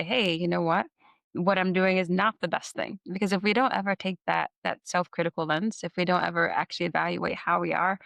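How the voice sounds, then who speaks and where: An interview host speaking in a thoughtful, musing way, with casual fillers and a relaxed, conversational delivery. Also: mid-range voice, gravelly, dry recording. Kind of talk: reflective conversation.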